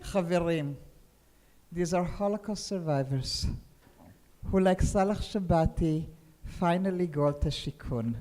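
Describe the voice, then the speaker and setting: An older woman with a Hungarian accent lectures to a seated audience, speaking into a table microphone. She tells her material like a story and keeps asking her listeners whether they follow. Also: medium pitch. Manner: expressive storyteller, often checks in with listeners. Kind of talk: lecture on poetry to a seminar audience. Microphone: table microphone on a stand.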